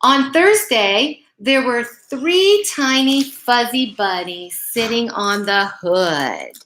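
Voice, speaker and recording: A woman reading a picture book aloud to children as a bedtime story, phrasing it deliberately and emphatically with little asides to her young listeners. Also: on the high side, sing-song, a bit bright, a little hiss or hum, rough recording.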